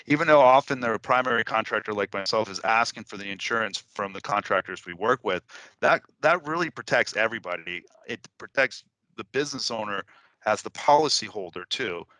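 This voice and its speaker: A man's voice: fairly low pitch, bright, forceful tone, no echo, low-quality recording. A man who runs a cleaning business, hosting a casual interview in a loose, chatty way with many fillers.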